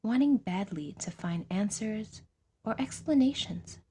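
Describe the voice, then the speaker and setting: A woman's voice: mid-range voice, lively intonation, measured pace, warm tone, slight background noise. A woman reading scripted fiction as the narrator of an audio drama.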